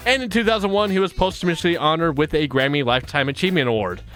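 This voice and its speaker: A man's voice: on the high side, fast, fairly bright tone, faint music behind. A man in his thirties hosting a casual countdown chat, upbeat, reading out chart facts and laughing often. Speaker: radio show host.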